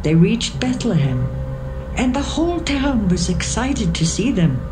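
A woman narrating scripture from a script in a measured storytelling manner. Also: mid-range voice, sing-song. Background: music.

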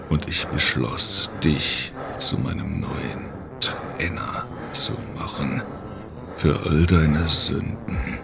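A man voicing a sinister, mocking giant ghost monster with a gravelly growl in a horror audio drama. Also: rumbling low, very noisy, heavily acted. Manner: slow, menacing, taunting.

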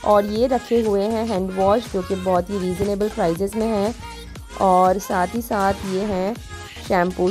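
A woman vlogger walks the aisles, pitching deals in a chatty, upbeat way. Her voice is mellow and warm, and faint music plays under her phone recording. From Pakistan. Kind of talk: grocery store walkthrough narration.

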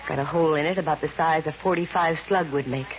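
A woman's voice: low voice, fast. An actress playing a wry, wisecracking female private detective in a 1950s radio drama. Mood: probing, bantering. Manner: wisecracking, slangy, dry. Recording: muffled, very noisy old radio recording.

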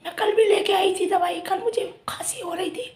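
A middle-aged woman vlogging casually to camera with a hoarse, lost voice, talking emphatically in a half-whisper from a small room. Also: very high voice, normal speed, roomy sound. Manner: emphatic, whispery from a sore throat.

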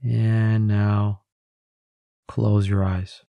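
A man with a mellow, lowish voice guides a meditation in a very dry, close recording. He gives calm, gentle instructions and leaves space between phrases. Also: drawn-out words, vocal fry, dry recording. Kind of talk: guided meditation, scripted narration.

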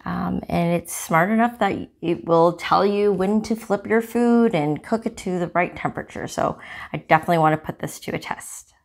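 A woman with a fairly low voice talks through a cooking gadget at her kitchen counter. She is relaxed and chatty, with little fillers, and shows each part as she goes. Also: normal speed, gravelly. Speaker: cooking channel host. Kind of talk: casual product demo.

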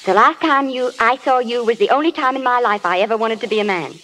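An actress playing a sweet, eager, nervous young aspiring film starlet in old radio drama style. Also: female voice, on the high side, fast talker, sharp tone, low-quality recording. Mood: wondering. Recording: old radio broadcast with audience noise.

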